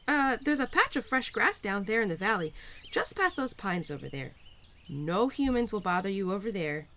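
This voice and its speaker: A woman's voice: on the high side, a little hiss or hum, exaggerated voice. Woman acting a bubbly, lilting spring spirit. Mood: tender, adoring. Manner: bubbly, affectionate, gently teasing, expressive. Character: cheerful, playful, nurturing young female spirit of spring. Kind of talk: roleplay audio drama addressed to the listener.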